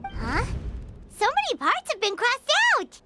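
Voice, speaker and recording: A woman voicing a small, excitable, childlike fairy companion with a squeaky voice in a video game. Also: measured pace, slight background noise, heavily acted. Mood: curious. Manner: exclamatory, inquisitive. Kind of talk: video game English dub acting.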